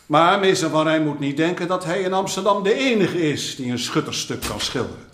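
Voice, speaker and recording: A haughty militia captain in a historical radio play. His voice sits fairly high, curt and full of self-importance. The recording has a roomy echo. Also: animated, a little fast, a bit bright, slight background noise. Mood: indignant, pompous. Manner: pompous, curt. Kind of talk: Dutch radio drama acting.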